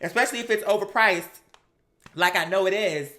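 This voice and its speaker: A woman doing casual gossip commentary on reality TV, sassy and animated, with slangy, profane talk and mocking quotes. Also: medium pitch, a little fast. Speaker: host of a live commentary show.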